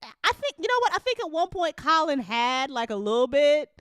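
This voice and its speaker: A woman in a casual group chat, talking in an animated, jokey way and exclaiming often. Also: high-pitched, normal speed. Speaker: host of audio drama after show.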